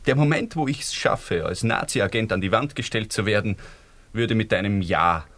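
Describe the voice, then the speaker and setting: A melancholy, ironic Austrian lover in a radio drama. His voice is fairly low. He phrases everything dryly and with educated care. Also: normal speed, fairly bright tone. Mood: ironic, wistful. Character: ironic, melancholy man in danger, hopelessly in love.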